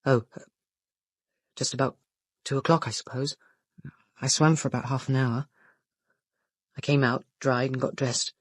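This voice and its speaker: A man's voice: mid-range voice, fairly even intonation, normal speed, long pauses, slightly rough, no echo, lightly acted. Hesitant, polite schoolboy, acted by a man, close and dry. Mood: hesitant, uneasy. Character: polite teenage schoolboy, orphaned, earnest and unsure. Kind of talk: radio play acting.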